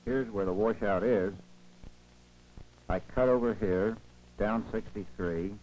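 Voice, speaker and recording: Here is a conscientious male school bus driver in a 1940s training film. He speaks plainly and respectfully in a lowish, slightly dull voice. The old film soundtrack carries a faint hum and noise. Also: takes it slowly, a little mellow. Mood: polite, reporting. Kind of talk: 1940s educational film acting.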